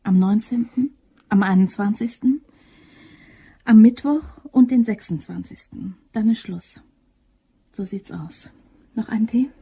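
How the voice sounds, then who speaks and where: A woman's voice: fairly high pitch, unhurried, pauses often, dark tone, husky, full character voice. An actress playing a cool, bossy office woman who masterminds a robbery, clipped and businesslike, in a German crime radio play. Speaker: actor.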